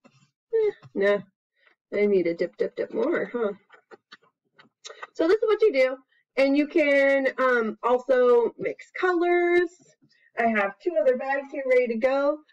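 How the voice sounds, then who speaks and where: An American woman around forty chats casually to camera as a preschool teacher demonstrating an activity, in a playful, expressive manner. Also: high voice, unhurried, pauses often. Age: 30s to 40s. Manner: playful, expressive, with exclamations and sound words. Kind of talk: casual instructional chat to camera. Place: small plain room at home, indoors. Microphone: computer webcam mic at about arm's length. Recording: dull, lo-fi webcam sound.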